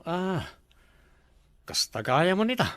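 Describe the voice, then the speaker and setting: A man with an Ilocano accent pleads in a fairly high voice. His pitch moves a lot. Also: very animated, takes it slowly, a bit bright, no room sound.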